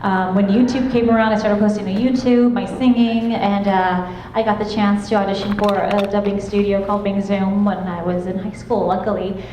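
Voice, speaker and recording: A young woman voice actress chatting and laughing on a convention panel, with a self-described soft voice. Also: medium pitch, fairly even intonation, a little fast. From Los Angeles, California. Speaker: guest voice actress. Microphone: handheld panel mic. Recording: echoey room, clear background noise.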